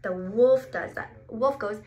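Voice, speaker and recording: A woman in her twenties reading a children's picture book aloud expressively, asking questions and answering them. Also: a little high. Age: 20s. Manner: expressive, exclaiming, asks questions and pauses before answering. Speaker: speech therapist. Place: small indoor clinic room. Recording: roomy echo, clear background noise.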